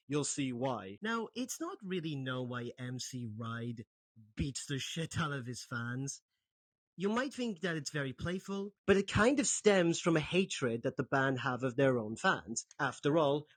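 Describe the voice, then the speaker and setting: Self-mocking young Welsh man, music in background. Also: on the high side. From Wales. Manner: dry self-mocking humor. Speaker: video essayist. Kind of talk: scripted music commentary.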